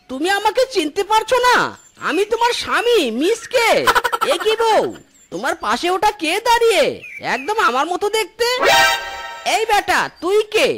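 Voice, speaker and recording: A performer voicing a whiny, blustering village husband in an animated folk tale, with a theatrical, complaining delivery. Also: very high voice, background noise. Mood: indignant. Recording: clear background music.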